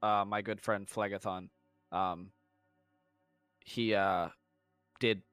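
A man's voice: lowish voice, steady tone, slow pace, a bit bright, clear, resonant tone, no echo, faint music behind. A man co-hosting a podcast, joking crudely, swearing freely and laughing often. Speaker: podcast co-host. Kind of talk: casual podcast chat.

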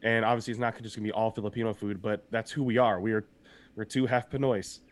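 A young man co-hosting a podcast, his voice a little raspy from shouting, talking in an upbeat, rambling way and laughing often. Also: lowish voice, fairly even intonation, fast talker. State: slightly hoarse from shouting. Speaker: podcast co-host. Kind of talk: casual podcast chat.

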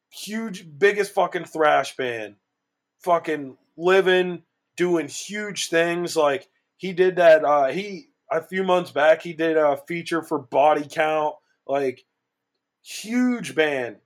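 30 yr old guy on a podcast, kinda high voice, swears, gets hyped. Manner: profane, excitable. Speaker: podcast co-host. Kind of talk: casual podcast chat.

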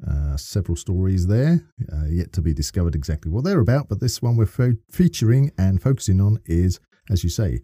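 A man's voice: low voice, very animated, a little fast, few pauses, mellow, slightly rough, no room sound, studio quality. A man co-hosting a podcast in casual chat, with theatrical emphasis. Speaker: podcast co-host.

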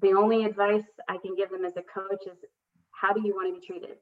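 A woman's voice: mid-range voice, little pitch movement, a little fast. A woman coach speaking as a podcast guest, earnest and reflective, with many fillers and restarts. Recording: dull lo-fi recording.

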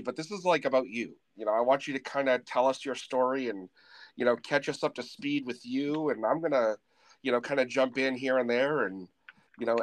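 A man hosting a casual podcast, hoarse and raspy from a head cold, rambling loosely with lots of fillers and casual swearing. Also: mid-range voice, no echo, low-quality recording. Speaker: podcast host. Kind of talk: casual off-the-cuff podcast chat.

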